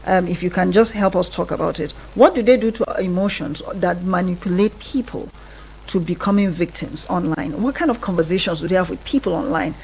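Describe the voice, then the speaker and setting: A Nigerian woman hosting a conversational radio interview, phrasing things hesitantly and then in quicker bursts. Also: lowish voice, gravelly, a little mellow, breathy edge, rough recording. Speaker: radio host. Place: radio studio.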